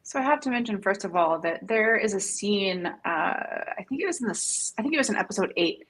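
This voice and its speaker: A woman's voice: mid-range voice, animated, a little fast, a bit bright, husky. A woman speaking casually as a panelist, sharing her opinions in a fan discussion.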